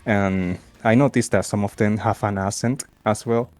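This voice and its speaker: A shy, soft-spoken man with a strong non-native accent, answering interview questions in simple, halting phrases. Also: fairly low pitch, steady tone, soft background music. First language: not English. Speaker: guest, game content creator.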